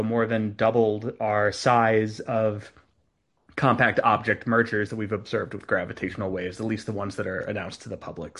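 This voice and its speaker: A young man giving a relaxed, conversational science talk as a guest speaker, joining over a video call. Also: lowish voice. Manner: relaxed, conversational, many ums.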